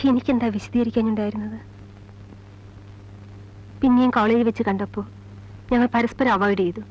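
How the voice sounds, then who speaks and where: An actress playing a sorrowful mother and former college teacher, telling her past gravely in halting, measured phrases in a film drama. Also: on the high side, close to an everyday voice. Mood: sorrowful recollection. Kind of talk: Malayalam film drama, slow confessional narration. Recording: dull old film soundtrack, music behind. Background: film score music.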